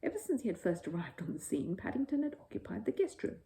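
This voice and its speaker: A woman narrating a children's bedtime story in an expressive storytelling style. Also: mid-range voice, quick pace, creaky.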